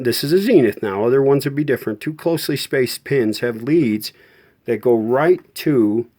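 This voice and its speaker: A man hosting an informal repair tutorial, explaining technical details off the cuff in a halting way and often correcting himself. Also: medium pitch, warm tone. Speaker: host of a vintage radio repair tutorial. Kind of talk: unscripted hands-on technical explanation.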